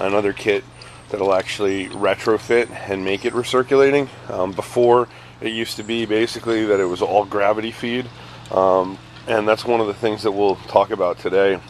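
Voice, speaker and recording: Low-voiced man explaining a garden setup. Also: slight background noise. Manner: relaxed, many ums and uhs, explaining as he films. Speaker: hydroponics reviewer. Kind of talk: casual how-to walkthrough. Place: outdoors, beside buckets on pavement.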